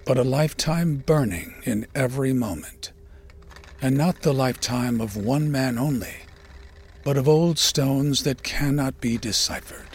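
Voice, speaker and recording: A man reading poetry in a measured, solemn, dramatic recitation with deliberate pauses and a gravelly voice that turns breathy at phrase ends. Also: medium pitch, slight background noise. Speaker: poetry reader. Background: ambient sound design.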